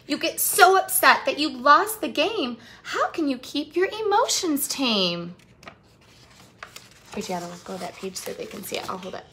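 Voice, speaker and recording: Bright-voiced woman reading aloud to children. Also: mid-range voice. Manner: encouraging, addresses young viewers directly with questions. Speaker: children's read-aloud host and teacher. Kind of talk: picture-book read-aloud and talk to children.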